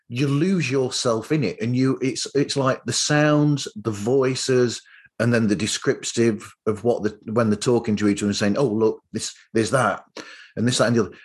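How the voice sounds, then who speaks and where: A man's voice: mid-range voice, breathy edge. A man hosting a casual interview, rambling a little as he circles back through his thoughts. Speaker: podcast host.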